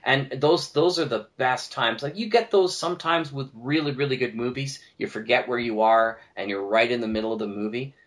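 A man's voice: medium pitch, a little fast, few pauses, a bit bright. A man talking casually and enthusiastically as a guest on a podcast. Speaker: podcast guest, audio drama producer.

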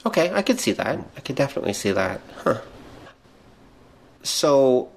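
A middle-aged man hosting a casual pop-culture podcast, explaining things in a measured, lightly joking way. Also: medium pitch, sing-song. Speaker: podcast host.

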